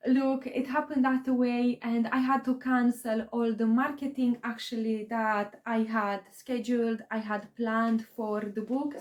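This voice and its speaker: A woman's voice: on the high side, darkish tone. A woman in her thirties from Romania with a Romanian accent, English as her second language, chatting casually about her writing journey with lots of fillers and restarts. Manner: rambling, many fillers and restarts. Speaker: aspiring novelist vlogger. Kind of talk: casual personal vlog talk.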